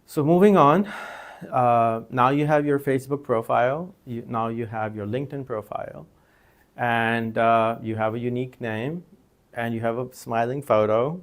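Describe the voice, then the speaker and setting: A man's voice: medium pitch, normal speed. A man whose first language is Urdu, teaching an informal recorded lecture in a conversational way, with many fillers and restarts. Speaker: course instructor.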